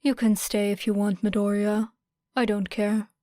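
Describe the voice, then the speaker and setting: A woman voicing an aloof, stoic teenage boy in a flat, detached manner for an audiobook. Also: mid-range voice, moderate pace, no room sound, natural voice.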